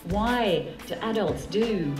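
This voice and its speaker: A woman's voice: mid-range voice, animated, takes it slowly. A woman talking earnestly about a book she helped create, often repeating words. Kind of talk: promotional talk. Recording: roomy recording with music behind.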